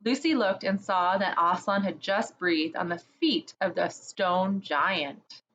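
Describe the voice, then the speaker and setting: A woman reading a children's chapter book aloud, slowly and expressively, as a storyteller for kids. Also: on the low side, a little hiss or hum, poor audio. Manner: slow, gentle, expressive reading.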